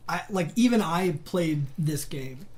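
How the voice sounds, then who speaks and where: A man co-hosting a podcast, talking haltingly with many restarts and jokes. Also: fairly high pitch, lively intonation. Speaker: podcast co-host. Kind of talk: casual chat.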